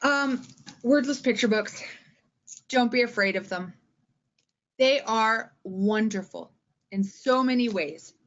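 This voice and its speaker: A woman's voice: fairly high pitch, takes it slowly, long pauses, fairly bright tone, muffled recording. A woman speaking as a teacher in an informal instructional talk, with an emphatic, theatrical delivery that often checks in with the listener. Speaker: schoolteacher giving reading-aloud tips.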